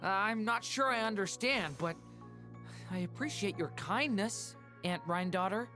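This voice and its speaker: A woman voicing a gentle, polite young boy in a video game, earnest and a little hesitant. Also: mid-range voice, lively intonation, a little slow, close to an everyday voice. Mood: hesitant, grateful. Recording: music under the voice.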